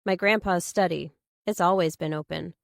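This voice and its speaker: A woman's voice: fairly low pitch, steady tone, moderate pace, vocal fry, no room sound, clean studio sound. A woman voicing a reserved young heroine in audiobook dialogue, hesitant and matter-of-fact, with understated replies.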